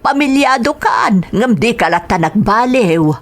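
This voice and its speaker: A woman's voice: a little high, animated, measured pace. A woman playing a worried mother admonishing her grown son in an emotive Ilocano drama. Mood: reproachful, worried. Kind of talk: Ilocano radio-style drama acting.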